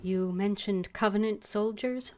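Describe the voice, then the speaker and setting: A woman's voice: mid-range voice, unhurried, warm tone, faint music behind. A woman streaming a game, voicing her character's lines aloud with casual, wry asides.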